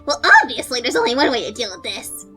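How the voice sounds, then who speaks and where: A grandiose executioner played by a woman speaks in a high, bright, sharply swooping voice. She is pompous, mocking and gleeful in her menace, like a self-crowned mistress of death in an animated skit, with music playing behind her. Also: fast. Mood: gleeful, menacing. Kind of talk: hobby animated skit voice acting.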